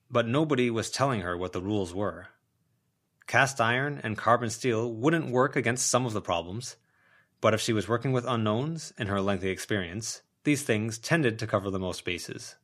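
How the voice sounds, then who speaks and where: Low-voiced man narrating an audiobook. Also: a bit bright.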